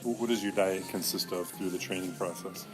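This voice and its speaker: A man's voice: sits low, steady tone, fairly quick, music in the background. A man hosting a casual interview in a conversational style, putting questions to a guest. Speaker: school podcast host and interviewer.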